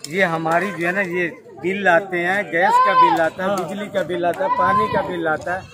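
A man's voice: sits high, fairly even intonation, moderate pace, heavy background noise. A man, a local resident, insistently listing complaints in a street interview. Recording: loud crowd, off-mic.

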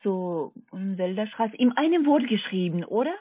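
A non-native speaking woman with a foreign accent, working as a call center agent, giving a halting, repetitive, polite sales pitch over the phone. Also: expressive, a little hiss or hum. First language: not German. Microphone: telephone. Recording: tinny, narrowband phone line.

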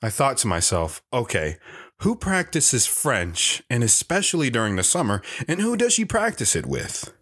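A man's voice: medium pitch, huge pitch swings, moderate pace, a bit bright, breathy edge, no room sound, studio quality, soft background music, exaggerated voice. A man playing a wistful radio guest telling the story of his youth in an audio drama.

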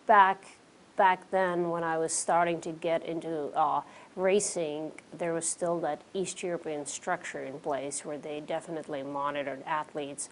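A woman in her forties from the Czech Republic with a Czech accent, relaxed as an interview guest, sprinkling in fillers. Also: low voice, a little slow, slight background noise, rough recording. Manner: relaxed, many fillers like "you know" and "um". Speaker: interview guest, pro cyclist. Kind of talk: casual interview answers. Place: small studio with curtains, indoors.

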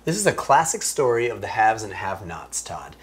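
A man's voice: on the low side, very animated, brisk. Mock-serious male news co-anchor. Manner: earnest mock-serious reporter tone. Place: small studio set.

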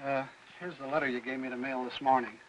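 A man's voice: mid-range voice, level tone, fast, highs cut off, close to an everyday voice. An actor playing a fussy, long-winded middle-aged American postman in a 1950s film, rambling and hesitant. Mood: awkward. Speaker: mail carrier. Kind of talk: 1950s Hollywood film acting. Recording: noisy old film soundtrack.

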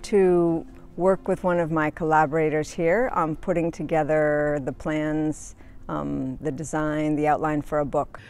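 A woman speaking in a measured way in an interview, recorded outdoors in a courtyard. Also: low-pitched, little pitch movement, darkish tone, clear, resonant tone. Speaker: researcher.

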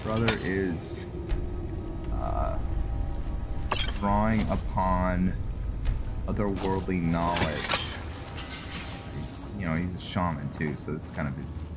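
Man, deep voice, slow halting pace, casual game commentary, roleplay playthrough. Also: steady tone. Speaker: video game streamer, host. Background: music.

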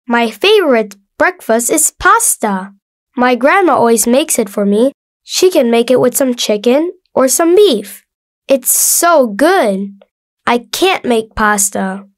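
A woman voicing a cheerful young schoolboy in a scripted textbook dialogue, speaking slowly and clearly. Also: a little high. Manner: slow, clear, bright child-like delivery.

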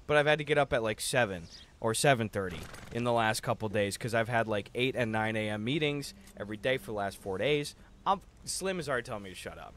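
Young male game streamer, lively swooping delivery, slangy and profane, a bit worn-down, casual chat with viewers. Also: medium pitch, quick pace, a little hiss or hum. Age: young adult. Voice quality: tired, slightly worn-down voice. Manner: slangy, jokey, profane, often says "dude" and "bro". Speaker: livestream host. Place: indoor room at a streaming setup.

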